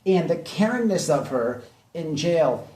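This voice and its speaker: A man's voice: fairly high pitch, animated, a little slow, darkish tone. A man giving opinionated drama commentary in a blunt, mocking way, hammering points home with repetition. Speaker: online commentary host. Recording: echoey room, distant mic.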